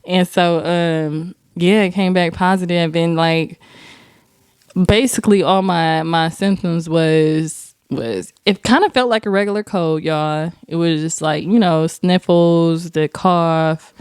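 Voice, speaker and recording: Young woman host, lowish clear voice, dry close mic, lively storytelling. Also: takes it slowly, no echo. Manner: animated, laughing, profane storytelling. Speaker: podcast host. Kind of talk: casual chat.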